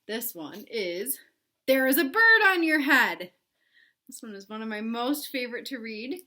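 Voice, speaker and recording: Bright, lively woman reading to children. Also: on the high side, unhurried. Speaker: teacher reading a children's story aloud. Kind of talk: informal read-aloud chat to children.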